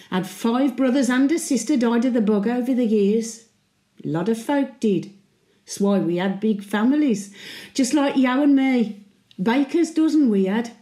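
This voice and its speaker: In a roomy, echoing space, a woman plays a centenarian Brummie widow and old market trader. Her voice is highish and soft-edged. She talks in a wry, colloquial, sentimental way, as if confiding in her late husband in a radio monologue. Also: close to an everyday voice. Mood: reminiscing. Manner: chatty, wry, colloquial storytelling.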